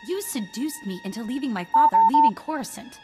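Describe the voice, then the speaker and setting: A woman's voice: sits high, very animated, a little fast, over loud music. A woman playing a vengeful young bounty hunter in a video game, intense, accusing and resolute.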